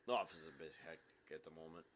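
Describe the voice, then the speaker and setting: A man's voice: extremely low pitch, rapid-fire, slight background noise, voice pushed down. A man voicing a blunt, casually dismissive male enforcer in a visual novel. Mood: dry, dismissive.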